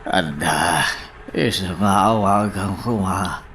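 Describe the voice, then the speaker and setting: Actor as insistent pining boss, deep pitch, unhurried, Ilocano radio drama, faint noise. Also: male voice, a bit bright, exaggerated voice. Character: insistent lovesick boss pining to see a woman.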